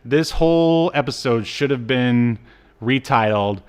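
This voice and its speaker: A man talks as a podcast co-host in a casual review chat. His voice sits at a medium pitch, and he speaks in a halting, rambling way. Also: clear, resonant tone.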